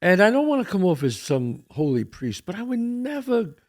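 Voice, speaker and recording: A man's voice: sits high, big pitch swings, moderate pace. An older man hosting a casual talk show, blunt and emphatic, swearing freely. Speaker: podcast host. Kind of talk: casual chat.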